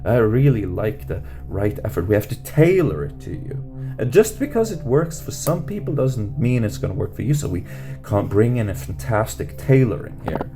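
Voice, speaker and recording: A man teaching meditation off the cuff, rambling with many fillers and restarts and lifting his pitch at the end of questions. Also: big pitch swings, normal speed. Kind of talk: unscripted teaching talk. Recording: music playing under voice. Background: guitar music.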